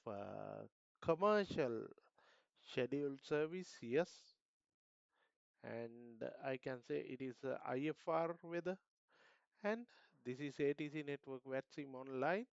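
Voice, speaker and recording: A man's voice: a little high, clean tone, no room sound, lo-fi sound. A man with a Sri Lankan accent, thinking aloud hesitantly in casual commentary as a flight simulator streamer.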